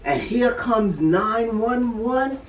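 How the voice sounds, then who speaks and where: An older woman telling a story in an animated, dramatic way, repeating words and phrases as she goes. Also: on the high side, very slow, slight background noise. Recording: roomy echo, distant mic, music behind.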